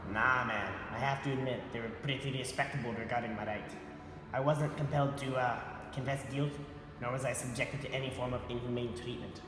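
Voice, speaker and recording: A man's voice: medium pitch, steady tone, brisk, background noise, close to an everyday voice. A young man in a student video playing a streetwise ex-con drug dealer who swaggers and swears casually. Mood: gruff. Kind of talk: student amateur video acting. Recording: echoey room, far from the mic, with music.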